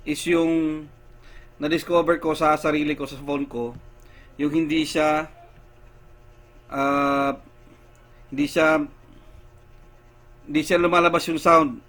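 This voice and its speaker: A man giving a casual step-by-step how-to demonstration, unhurried, with many fillers. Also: on the high side, a bit bright. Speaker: tech vlogger.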